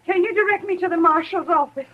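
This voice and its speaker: A woman's voice: very high-pitched, fast talker. A woman playing an exasperated, folksy frontier mother with a rural American accent in a Western radio drama. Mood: anxious. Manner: folksy, long-suffering. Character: exasperated, plain-spoken frontier mother of wild boy. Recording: dull, faintly noisy vintage radio recording.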